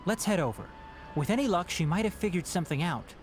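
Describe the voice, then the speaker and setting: A man playing an earnest, thoughtful scholar in a video game, explaining things in a measured, respectful way. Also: sits high, lively intonation, soft background music. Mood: attentive. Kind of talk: video game voice acting, storytelling.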